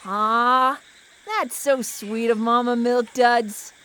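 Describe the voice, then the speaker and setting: A man's voice: very high voice, slow, smooth, heavily acted. A performer playing a cheeky, flirty male incubus demon in an audio drama, youthful and teasing. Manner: animated, teasing.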